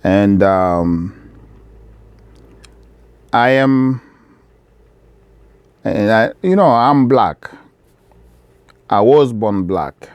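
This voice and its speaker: A middle-aged man from the Democratic Republic of Congo, speaking straight to camera from his shop in an earnest, measured appeal that repeats key phrases. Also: on the low side, expressive, a little mellow, smooth, dry recording. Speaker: vlogger, business owner.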